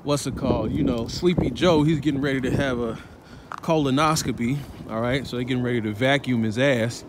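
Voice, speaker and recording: A man records himself on a phone as he walks along a city street. He talks in a preachy, slangy way, pausing again and again to check in with "all right?" and "man". Faint traffic and street sounds sit behind him. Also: mid-range voice. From Chicago, United States. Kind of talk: walking vlog commentary. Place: city sidewalk outdoors, walking. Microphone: phone held while walking. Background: faint traffic and street ambience.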